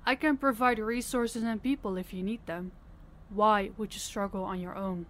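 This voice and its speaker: A woman's voice: mid-range voice, steady tone, normal speed, a little hiss or hum, natural voice. A woman playing a stern, fed-up crime boss in an audio roleplay, commanding and blunt. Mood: stern, probing. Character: stern, fed-up female crime boss scolding her subordinate.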